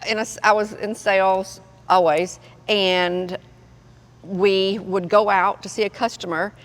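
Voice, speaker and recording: Animated older woman telling stories, reverberant. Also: mid-range voice, a little slow. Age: 60s. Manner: animated storyteller, laughs often. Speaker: guest, mother. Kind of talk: casual storytelling. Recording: faint noise with long reverb.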